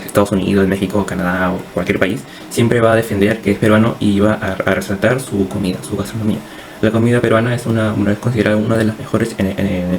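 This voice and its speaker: A man's voice: on the low side, a little mellow, a little hiss or hum, low-quality recording. A young man with a Peruvian accent giving an informal class presentation as a student, hesitant and often repeating words. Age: young adult.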